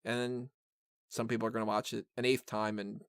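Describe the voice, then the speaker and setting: A man's voice: on the low side, level tone, a little fast, smooth, no room sound, clean studio sound. A man hosting a podcast, chatting casually and off the cuff about films, rambling, with blunt opinions and the odd joke. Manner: rambling, conversational, frequent "like" and "kinda". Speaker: podcast host reviewing a film. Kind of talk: casual unscripted review chat.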